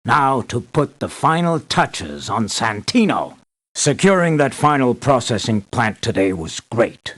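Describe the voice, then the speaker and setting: Earnest, lively-pitched male mission leader. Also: unhurried. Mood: pleased. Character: earnest, measured male leader financing an island operation. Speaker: mission briefer. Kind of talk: scripted video game voice acting.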